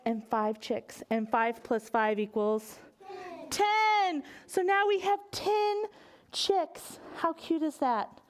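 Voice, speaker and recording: A woman hosting a story time for children at a library, talking in an expressive, exclamatory way straight to her young audience. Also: sits high. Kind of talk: enthusiastic presentation to children.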